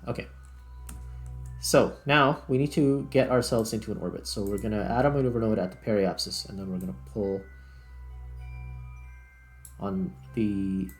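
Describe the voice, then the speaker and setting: A man with a fairly low voice gives relaxed, casual let's play commentary. He thinks aloud one step at a time as he plays. Faint music sits behind his voice. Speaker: gaming video host. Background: background music.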